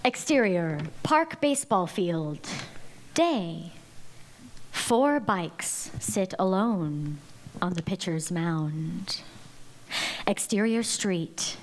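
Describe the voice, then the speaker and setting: A woman's voice: on the low side, lively intonation, extremely slow, long pauses. A woman reading stage directions as narrator in a dramatic live table read of a script.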